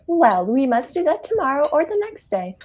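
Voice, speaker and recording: A teenage woman playing a sweet, unflappable elderly aunt, genteel and gently scolding, in a high school radio play. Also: very animated, normal speed, a little hiss or hum. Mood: prim, unconcerned.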